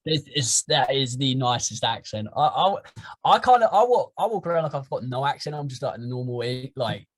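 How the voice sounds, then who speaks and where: English guy rambling about his hobby, casual podcast chat, mid pitch. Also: a little fast. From England. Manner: rambling, animated storytelling. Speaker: podcast guest, airsofter.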